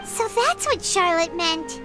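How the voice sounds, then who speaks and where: Squeaky, very high childlike companion. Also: female voice, lively intonation, slow pace, over music, exaggerated voice. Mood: concerned. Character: small childlike floating companion, cheerful and curious. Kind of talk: video game dialogue acting.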